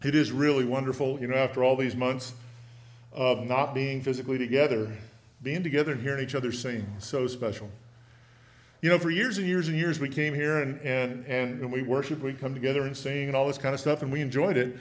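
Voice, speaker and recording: A man preaching a sermon in a church, folksy and conversational, slowing down to read scripture with emphasis. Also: steady tone. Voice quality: big booming voice. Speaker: preacher teaching a church lesson. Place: church sanctuary.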